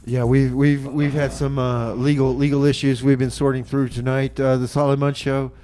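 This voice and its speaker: Guy hosting a community radio show, mid pitch, kinda rambly and repeats himself, noisy recording. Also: level tone, dark tone. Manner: loose, halting, repeats phrases. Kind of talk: casual improvised radio banter.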